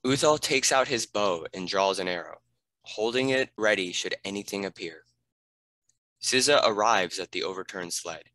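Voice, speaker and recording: A man's voice: on the low side, moderate pace, pushed and bright, no echo, low-quality recording. A man giving scripted narration, reading out the stage directions of a screenplay.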